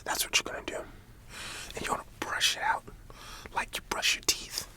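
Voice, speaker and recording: A man playing a stern but caring high school principal in a whispered ASMR roleplay, scolding a student in informal, folksy language with a breathy voice. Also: normal speed, bright, forceful tone. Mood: stern, warning. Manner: informal, admonishing, folksy phrasing.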